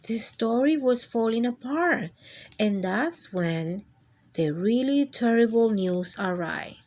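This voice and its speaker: A woman's voice: fairly high pitch, animated, slow pace, a little mellow. A woman playing a theatrical crayon in charge of a picture book, addressing the reader in a formal, dramatic way. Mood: dramatic, dismayed. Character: theatrical crayon stage manager telling of a ruined book.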